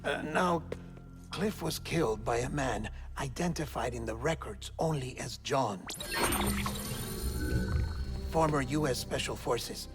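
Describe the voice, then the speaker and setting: An actor playing an earnest, wry intelligence operative briefing a comrade in a video game cutscene, measured and pausing for drama. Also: male voice, mid-range voice, lightly acted. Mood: confiding, wry. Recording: game music behind the voice.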